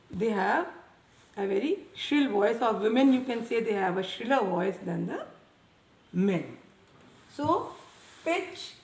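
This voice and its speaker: A woman teaching a science lesson to children, speaking loudly and deliberately and repeating key terms. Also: fairly high pitch. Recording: echoey room, voice a bit far from mic, faint noise.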